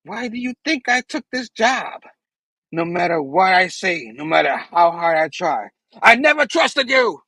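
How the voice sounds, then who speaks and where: A young comic-book superhero, read out by a man, defiant and confrontational. He declaims with lively, moving pitch in a dry, close recording. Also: a little slow, dry recording, full character voice.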